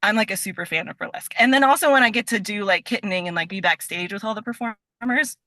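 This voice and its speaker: A woman's voice: mid-range voice, fast, sharp tone, dry recording. A woman chatting casually as a podcast guest, enthusiastic and talkative, peppering her sentences with "like". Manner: chatty, enthusiastic, heavy use of "like". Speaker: podcast guest, stage performer and voice actor. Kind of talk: casual interview chat.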